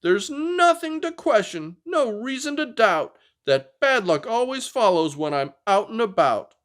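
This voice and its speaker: Man putting on a high, grumpy young girl dinosaur. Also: sing-song, unhurried, a bit bright, exaggerated voice. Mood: indignant.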